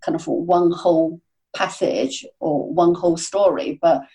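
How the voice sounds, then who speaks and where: A woman speaking as a podcast guest, answering at length in a halting way with many fillers and restarts. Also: on the low side, steady tone, mellow, rough recording. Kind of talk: conversational interview answers.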